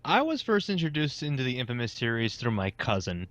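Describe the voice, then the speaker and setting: A man's voice: mid-range voice, very animated, brisk, fairly bright tone, no echo. A man chatting as a podcast guest, telling a story in an easygoing way. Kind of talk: casual podcast chat.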